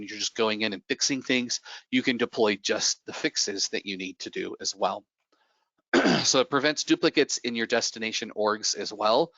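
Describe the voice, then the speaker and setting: A man with a cold and a slightly raspy voice explains software features in an online presentation, with frequent fillers and restarts. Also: on the low side, no room sound, dull audio. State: recovering from a cold, getting raspy. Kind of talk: product presentation on a webinar.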